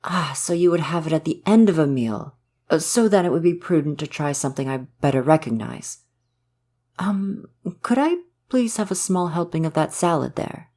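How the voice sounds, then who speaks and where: A man voicing a gentle, shy, curious forest spirit guardian in an intimate ASMR roleplay, speaking in careful, formal, hesitant phrases. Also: fairly high pitch, lively intonation, normal speed.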